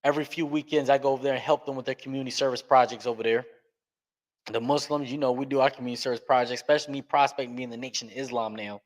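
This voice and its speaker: A man's voice: mid-range voice, steady tone, poor audio. Earnest man speaking emphatically. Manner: earnest, emphatic, speeds up and slows down for stress. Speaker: podcast guest. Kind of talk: candid interview talk.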